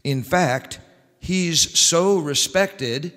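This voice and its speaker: A middle-aged man announces in the manner of an old-time radio host. He speaks slowly into a stage microphone, and his voice rings through a large, reverberant hall. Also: a little high, fairly bright tone. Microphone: stand microphone. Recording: echoey hall with long reverb.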